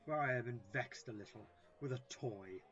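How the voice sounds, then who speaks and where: A man playing a vain, gullible gentleman in an amateur audio-drama comedy, speaking in a theatrical, self-important way. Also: mid-range voice, normal speed, highs cut off, faint music behind, lightly acted. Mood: pompous, fretful.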